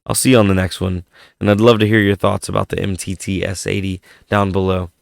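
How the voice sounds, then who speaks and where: Casual vlog host, male, lilt, low and gravelly, voice fading from a cold, dry close sound. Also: little pitch movement, a little fast, no room sound. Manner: laid-back, rambling, thinking aloud. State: losing his voice from a head cold. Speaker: tech channel host. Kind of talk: casual commentary on hardware.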